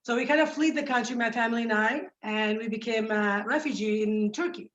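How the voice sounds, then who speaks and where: A woman in her forties from Iraq tells her story as a guest, upbeat and quick to laugh. Her voice sits a little high. The call audio is roomy and a bit dull. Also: a bit bright. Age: 40s. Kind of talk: telling her life story. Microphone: video call.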